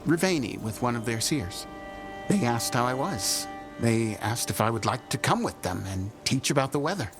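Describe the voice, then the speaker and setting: A male actor playing a gentle, simple-hearted friend in a video game, speaking in plain, slightly formal short sentences. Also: mid-range voice, big pitch swings, normal speed, music in the background. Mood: earnest.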